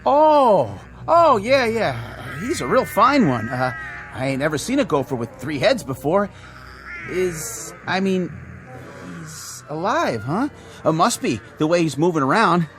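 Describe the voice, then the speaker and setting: An actor playing a frightened small-town grocery man who stammers nervously and flatters eagerly in folksy speech, in an old television drama. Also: on the high side, a little slow, soft background music. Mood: uneasy. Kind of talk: vintage television drama acting.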